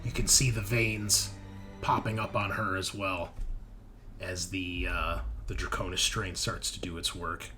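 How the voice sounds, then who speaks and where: A man runs a tabletop roleplaying game as its game master. His voice is bright and forceful, and he moves between improvised narration and conversational rules talk. Soft music plays underneath. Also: low voice, level tone, unhurried. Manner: explains rules conversationally to players. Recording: music playing behind the voice.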